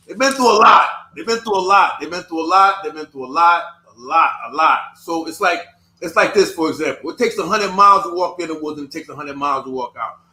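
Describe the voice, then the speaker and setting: A man who was born in the United States, talking in a casual discussion, halting and often repeating his words. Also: high voice, sharp tone. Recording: echoey room.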